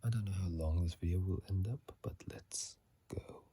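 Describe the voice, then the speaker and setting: A video host whispering softly in a breathy, hushed voice, reading aloud and adding casual commentary in an ASMR style, close to a phone mic. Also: sits low, normal speed, slight background noise. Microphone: phone mic held close.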